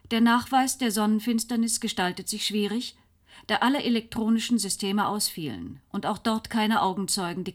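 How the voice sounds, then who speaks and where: An actress playing a newsreader in a German radio drama, delivering a formal, factual, measured bulletin. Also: female voice, medium pitch, close to an everyday voice. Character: female newsreader announcing a catastrophe.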